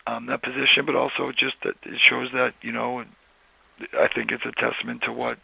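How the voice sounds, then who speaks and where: Hoarse coach guy, super gravelly, flat monotone, rambly with lots of you knows. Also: mid-range voice, normal speed, no room sound, low-quality recording. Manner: rambling, many restarts and "you know" fillers. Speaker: college football head coach. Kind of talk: casual interview answers.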